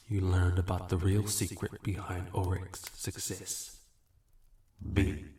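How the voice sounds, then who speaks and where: A man playing a smug, manipulative archdemon in a fantasy audio roleplay, talking down to the listener in a deliberate, smooth-talking way. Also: low-pitched. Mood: haughty, commanding. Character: smug, weary, manipulative male archdemon bargaining for contracts. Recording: roomy, echoing voice recording.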